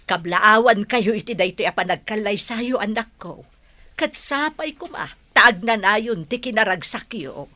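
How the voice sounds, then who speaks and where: A woman's voice: mid-range voice, lively intonation, unhurried, bright, forceful tone, no room sound. An actress in a radio drama playing a mother giving a ceremonious blessing. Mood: solemn. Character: blessing mother, older woman. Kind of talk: broadcast radio drama acting.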